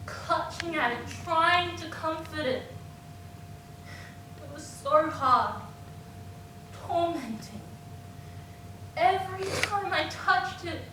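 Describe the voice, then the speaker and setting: A woman playing an anguished young woman in a theatrical monologue about abuse, with halting delivery and dramatic pauses. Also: far from the mic, exaggerated voice. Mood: wounded. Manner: halting, dramatic pauses, swinging from hushed to screamed. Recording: echoey room from afar, noisy with rumble.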